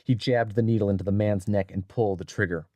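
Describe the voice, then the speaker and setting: Low-voiced male audiobook narrator. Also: fairly even intonation, fast talker, darkish tone, no room sound. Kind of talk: scripted dramatic story narration.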